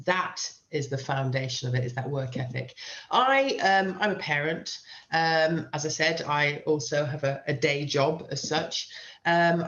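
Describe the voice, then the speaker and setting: A middle-aged British woman who teaches writing, answering interview questions with emphatic advice and repeating key phrases for stress. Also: low voice. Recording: dull, lo-fi sound.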